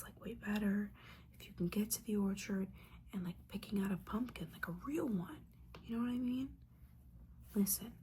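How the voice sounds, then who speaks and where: A woman's voice: mid-range voice, warm tone, slight background noise, natural voice. A woman playing a disappointed, sarcastic witch mentor in an ASMR roleplay, whispering breathily in a casual, sweary, scolding manner. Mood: exasperated, nagging.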